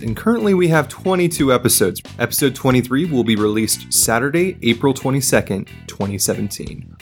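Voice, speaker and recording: A man with a medium-pitched voice speaks as a player and announcer on a role-playing game podcast. Plucked guitar music can be heard behind him. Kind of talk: tabletop play and podcast announcements.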